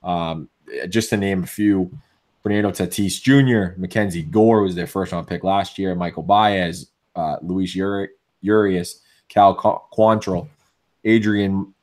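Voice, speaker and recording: A man with a Boston accent co-hosting a casual sports podcast, opinionated and full of restarts, with a voice going hoarse. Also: low voice, steady tone, a little slow, a little mellow. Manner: opinionated, many restarts and fillers. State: losing his voice. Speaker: podcast co-host.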